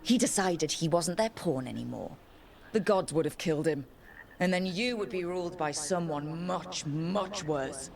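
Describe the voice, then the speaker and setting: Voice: mid-range voice, expressive, measured pace, vocal fry, crowd noise. An actor playing a resolute, wry young hero in a video game cutscene, speaking in a dry, pointed way. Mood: accusing.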